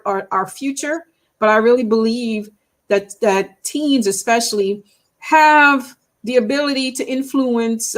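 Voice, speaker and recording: Trinidadian woman, earnest interview guest. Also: on the high side. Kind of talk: conversational interview.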